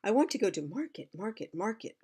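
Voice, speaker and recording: A woman voicing a small, headstrong little girl pot who begs in a high sing-song. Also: sing-song, a little fast, noisy background, lightly acted. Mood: pleading.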